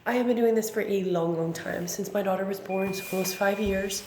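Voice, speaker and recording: A woman in her thirties, raspy from bronchitis, chatting casually through an unboxing with many ums and self-corrections. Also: mid-range voice, fairly quick. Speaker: vlogger. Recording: echoey room with background noise.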